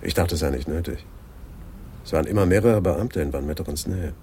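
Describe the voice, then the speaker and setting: Male actor for matter-of-fact detective in radio crime drama, dark low voice, faint noise behind. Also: a little fast, natural voice. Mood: sober. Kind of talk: 1980s German radio crime drama acting.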